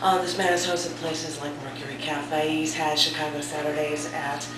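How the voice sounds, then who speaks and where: A woman's voice: sits low, little pitch movement, normal speed. A woman hosting a cafe open mic and reading a poem, her voice scratchy from a sore throat, chatty and self-deprecating. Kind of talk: casual hosting, then poetry reading. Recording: echoey room from far off.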